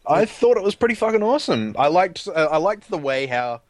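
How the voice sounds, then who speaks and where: A young man on a gaming podcast who talks deadpan, often stammering over his first words and swearing. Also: high-pitched, a little fast, no echo. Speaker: podcast cohost. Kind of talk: casual gaming podcast chat.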